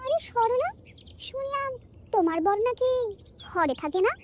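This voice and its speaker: Cartoon gossip neighbour, put on by a woman: insinuating, suspicious, know-it-all, extremely high-pitched. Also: animated, measured pace, slight background noise. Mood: prying. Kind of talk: cartoon story acting.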